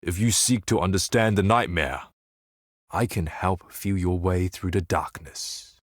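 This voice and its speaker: A man playing a cryptic desert seer in a video game, solemn and faintly mocking, speaking in measured, portentous, ornate phrases. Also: low-pitched, no echo, studio quality. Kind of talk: theatrical video game character dialogue.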